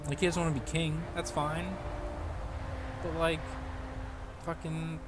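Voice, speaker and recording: A man's voice: a little high, level tone, unhurried, music in the background. A man streaming a game, chatting in a casual, rambling, sweary way.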